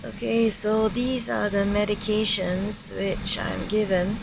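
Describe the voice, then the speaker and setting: A woman with a sore throat and a fading voice, narrating a frank personal vlog on a handheld phone while waiting in a hospital, her voice often dropping low and soft. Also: little pitch movement, slow pace, creaky. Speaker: vlogger. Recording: noisy ambience with air conditioning hum.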